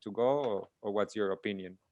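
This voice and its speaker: A man's voice: lowish voice, little pitch movement, brisk, a little mellow, no room sound, dull audio. A man hosting a casual interview, rambling through long questions and restarting them with many fillers. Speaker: interview host.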